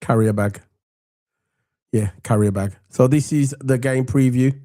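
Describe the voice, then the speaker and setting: A man from England with a croaky voice from a cold, hosting a casual football chat and rambling warmly to viewers. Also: medium pitch, measured pace, no room sound, clean studio sound. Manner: chatty, rambling, gives shout-outs to viewers. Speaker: football podcast host.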